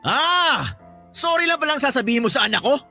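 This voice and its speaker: Man acting a gravelly, enraged Filipino father. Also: very high-pitched, expressive, fairly quick, faint music behind, full character voice. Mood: angry, threatening. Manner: scolding, forceful, cursing. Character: enraged, protective older father of a wronged daughter.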